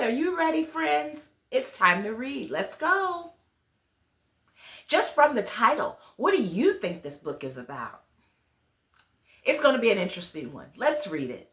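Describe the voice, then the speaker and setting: Lady doing a kids read-along, slow and super lively, asks the little ones questions, bit echoey. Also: mid-range voice. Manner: addresses children directly with questions and exclamations. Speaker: children's read-along storyteller, host. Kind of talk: storybook reading with chatty asides to young listeners. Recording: roomy, echoey sound.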